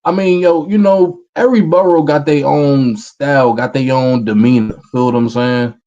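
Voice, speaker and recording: A man in his thirties with a New York accent, a rapper answering interview questions in a relaxed way. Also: mid-range voice, moderate pace, dull audio. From Brooklyn, New York, USA. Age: 30s. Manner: relaxed, repeats "feel what I'm saying". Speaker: rapper guest.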